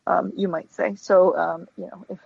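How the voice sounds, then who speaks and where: A woman's voice: fairly low pitch, flat delivery, normal speed, creaky, a little mellow, slightly rough, no room sound, muffled recording. A woman chatting casually as an interview guest, informal and hesitant, often correcting herself. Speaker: guest, stop-motion animator.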